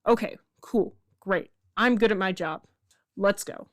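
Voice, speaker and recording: A woman's voice: medium pitch, unhurried, no echo, studio quality, natural voice. A woman playing a stand-in radio presenter in a radio fiction show with poetry readings. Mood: harried, self-assuring. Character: brisk, wounded radio colleague recording a stand-in poetry segment. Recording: music playing under the voice.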